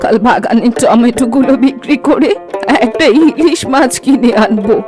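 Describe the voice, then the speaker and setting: A woman's voice: a little high, moderate pace, lightly acted. A woman voicing an old village granny in a cartoon, grumbling and musing to herself. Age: older. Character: old village granny living alone, fond of food, grumbling. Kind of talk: Bengali cartoon voice acting. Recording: music behind the voice.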